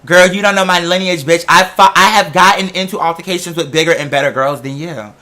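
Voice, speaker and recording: A man with an American accent telling a story as a casual, animated rant, sassy and confrontational, with emphatic slang and swearing. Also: fairly high pitch, brisk.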